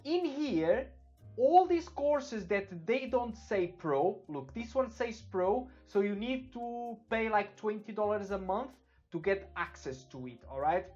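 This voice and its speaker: A man's voice: high voice, expressive, takes it slowly, a little mellow. A young man from Portugal with a Portuguese accent, giving a casual screen-share web development tutorial, loose and full of fillers, often checking in with "okay?". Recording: dull lo-fi with faint music behind.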